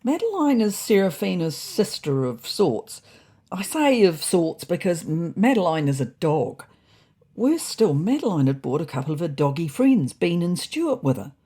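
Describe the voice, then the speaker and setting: A woman narrating a storybook diary as a fussy, indignant male house cat, in a wry, prim, deadpan manner. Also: on the low side, moderate pace, breathy edge. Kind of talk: first-person storybook narration.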